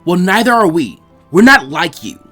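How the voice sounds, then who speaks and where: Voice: medium pitch, big pitch swings, moderate pace, a bit bright. Cheeky young ninja boy acted by a story reader, mouthy and casual, music underneath. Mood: annoyed.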